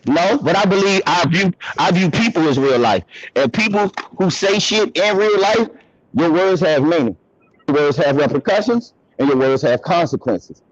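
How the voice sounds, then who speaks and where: A husky, high-voiced man argues hard, his pitch swinging wildly as he pushes each point and talks over the other side. The sound is dull and lo-fi, like call audio. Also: animated, moderate pace, no room sound. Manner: emphatic, profane, interrupts, pitch jumps when worked up. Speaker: host of commentary channel. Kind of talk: heated debate on a call.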